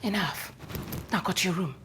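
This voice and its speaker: A woman's voice: lowish voice, moderate pace, a bit bright, slight background noise, natural voice. An actress playing an exasperated middle-aged mother who scolds her daughter. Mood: scolding. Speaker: mother and company owner's widow. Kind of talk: film drama acting.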